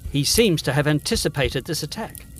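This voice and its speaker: A courteous young Edwardian gentleman in a gothic audio drama. His voice is fairly high and his phrasing formal and inquisitive. Music plays underneath. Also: animated, fairly quick, breathy edge. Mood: curious, concerned. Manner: polite, formal.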